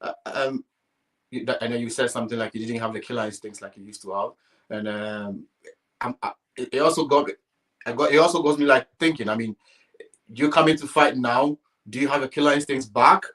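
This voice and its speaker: Male interviewer, mid-pitched voice, halting delivery, hesitant phrasing, conversational sports questions. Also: fairly bright tone.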